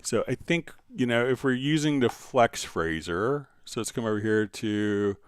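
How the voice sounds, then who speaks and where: A man hosting a casual live software tutorial, thinking aloud tentatively as he demonstrates and answers viewer questions. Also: mid-range voice, a little slow, clean tone, dry recording, studio quality. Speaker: livestream host and software instructor.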